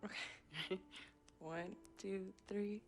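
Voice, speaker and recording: A woman's voice: mid-range voice, steady tone, extremely slow, soft background music. Hesitant, friendly young girlfriend. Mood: tentative, friendly. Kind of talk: cinematic sports game dialogue.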